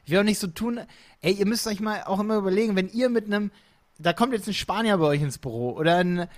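A young man chatting casually as a podcast co-host, lively and playfully acting out quotes in other voices. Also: high-pitched, fast talker. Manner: animated, acts out quotes with funny voices. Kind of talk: casual chat.

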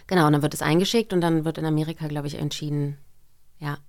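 A woman's voice: deep voice, steady tone, quick pace, darkish tone, dry recording, clean studio sound. A woman in her thirties, a voice actress, chatting friendly and laughing easily as a guest. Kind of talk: casual interview.

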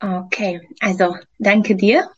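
A woman in her early thirties from Hungary with a Hungarian accent, haltingly searching for words as she tells her own story in an interview. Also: medium pitch, steady tone, husky. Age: early 30s. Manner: halting, searching for words in non-native German. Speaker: interview guest, fitness trainer. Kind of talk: personal account in an interview. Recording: dull lo-fi sound.

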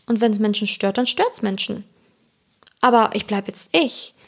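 A woman's voice: medium pitch, animated, a little slow, breathy edge. A woman who is not a native German speaker, hosting an informal motivational podcast as a coach, chatting directly and personally to the listener. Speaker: podcast host and self-love coach.

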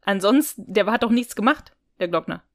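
A woman's voice: mid-range voice, animated, a little fast, no echo, studio quality. A woman co-hosting a podcast, talking casually and colloquially as she thinks aloud about an audio drama. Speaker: podcast co-host.

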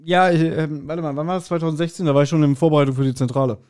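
A man with a fairly high voice chats casually as a podcast co-host. His banter is dry and pokes fun at himself. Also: fairly even intonation, rapid-fire, a little mellow, clean tone. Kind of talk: casual chat.

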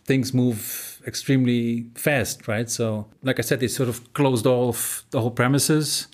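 A man's voice: mid-range voice, unhurried. Dutch-accented man in easygoing interview. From Netherlands. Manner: relaxed, thoughtful, with frequent fillers and restarts. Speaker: interview guest. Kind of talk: conversational interview.

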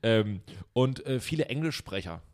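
A man's voice: mid-range voice, expressive, normal speed. A young man co-hosting a comedy podcast, rambling and teasing with lots of fillers. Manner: rambling, joking, many fillers. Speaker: podcast co-host. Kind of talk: casual chat.

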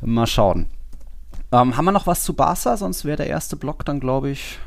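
A man's voice: mid-range voice, expressive, normal speed, vocal fry. A man hosting a football podcast in a conversational style.

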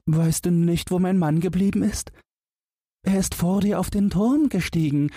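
Storybook wife: very high, shrill, indignant, scolding. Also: male voice, moderate pace, mellow, no echo, clean studio sound. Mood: anxious. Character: upset, scolding sexton's wife.

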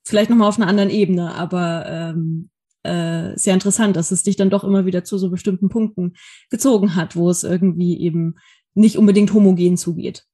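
A woman's voice: on the low side, steady tone, fairly quick, mellow, slightly rough. A woman hosting an interview, asking curious follow-up questions in a casual tone. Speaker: podcast host.

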